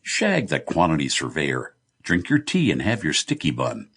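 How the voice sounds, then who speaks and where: A man's voice: very deep voice, huge pitch swings, normal speed, a bit bright, breathy edge, exaggerated voice. A man voicing a good-humoured, blunt middle-aged Irish businessman in an audiobook, breezy, teasing and confiding. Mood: breezy, commanding.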